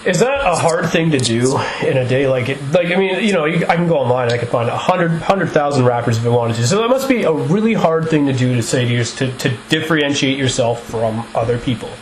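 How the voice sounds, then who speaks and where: A man interviewing a musician for a radio show, asking long, rambling questions with restarts and quick laughs. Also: medium pitch, lively intonation, echoey room, a crowd in the background, low-quality recording. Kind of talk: casual interview.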